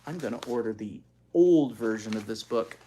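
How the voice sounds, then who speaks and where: An expressive storyteller reading a children's book aloud, pausing to explain things to young listeners. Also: medium pitch, a little hiss or hum, rough recording. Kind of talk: animated read-aloud with asides to child listeners.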